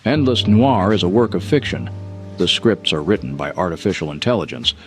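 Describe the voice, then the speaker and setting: A man serves as announcer for an audio drama, reading a scripted sign-off. His voice is deep, and music is clearly audible underneath. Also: lively intonation, fairly quick. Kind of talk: scripted show outro announcement.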